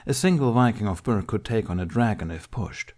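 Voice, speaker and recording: A man with a fairly low voice narrates an audiobook. He tells an adventure story in an expressive, scripted storytelling style. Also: fairly quick.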